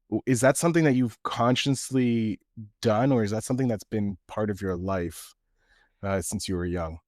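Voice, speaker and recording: Man, podcast host, encouraging, restarts questions, low voice, dry sound. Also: a little mellow, no room sound. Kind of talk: casual interview chat.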